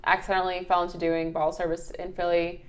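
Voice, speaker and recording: A woman's voice: on the low side, level tone, fast. Young woman, interview guest, casual storyteller, playful, informal, acts out remembered conversations. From Ukraine, raised in Philadelphia from age seven. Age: young adult. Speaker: interview guest, bottle service worker and model. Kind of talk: casual chat, anecdotal storytelling.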